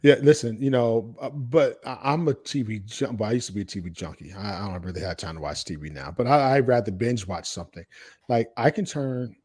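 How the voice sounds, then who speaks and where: A man's voice: lowish voice, fairly quick, darkish tone, breathy edge. An American man hosting a casual podcast chat, speaking in a blunt, salty-tongued way. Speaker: podcast host.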